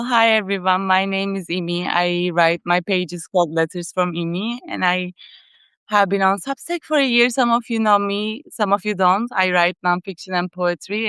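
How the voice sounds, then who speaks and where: A woman's voice: lowish voice, fairly bright tone, clear, resonant tone, no echo. A woman from Turkey with a Turkish accent, a guest writer chatting casually on a live video call, thoughtful and searching for words.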